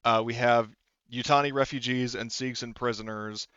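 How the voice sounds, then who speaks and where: Smooth-voiced man running a tabletop game. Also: mid-range voice, steady tone, moderate pace, a bit bright, dry recording, highs cut off. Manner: explanatory, hedging with frequent "like". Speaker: game master. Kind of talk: casual tabletop roleplaying talk.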